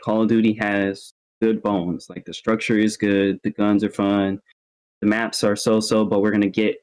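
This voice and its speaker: A man co-hosting a podcast, giving his opinions on video games in an informal, measured way. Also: on the low side, darkish tone, rough recording. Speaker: podcast co-host. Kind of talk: casual video game discussion.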